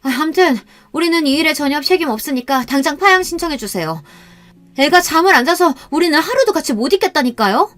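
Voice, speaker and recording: A woman voicing an indignant adoptive mother who defensively complains in a shrill, high voice. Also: expressive, fast talker, fairly bright tone, no room sound.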